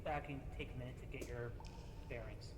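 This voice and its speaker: A man's voice: medium pitch, steady tone, brisk. A man speaking in improvised role-play over a virtual reality voice chat. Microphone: VR voice chat microphone. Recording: echoey, reverberant, faintly noisy voice chat.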